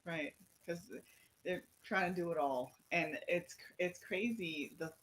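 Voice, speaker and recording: A woman's voice: on the low side, unhurried, a bit bright, slightly rough, poor audio. A woman from Trinidad chatting casually on a talk show in an informal, agreeable, reflective way.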